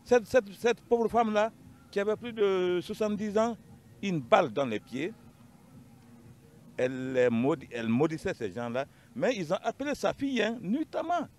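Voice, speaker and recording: Animated Malian man, higher-pitched voice. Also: moderate pace. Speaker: panel guest. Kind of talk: unscripted spoken account in a panel discussion.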